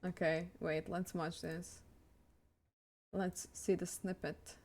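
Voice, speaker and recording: A woman's voice: fairly low pitch, level tone, slow, a little mellow. A young woman livestreamer chatting casually as she reacts to videos and answers her viewers. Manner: chatty, reading and answering chat. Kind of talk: casual reaction stream chat.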